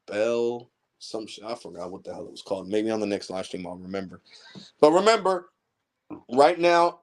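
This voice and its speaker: Blunt, heated man in his forties. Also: medium pitch. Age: 40s. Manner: blunt, heated, profane lecturing. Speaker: tech channel host. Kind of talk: casual ranting livestream monologue.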